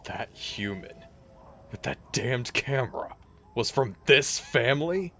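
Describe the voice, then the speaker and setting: A man's voice: mid-range voice, lively intonation, takes it slowly, a bit bright. A man playing a dramatic, wry werewolf packmate in a theatrical, incredulous manner for a fantasy audio drama. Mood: stunned, formal. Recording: clear background noise and ambience.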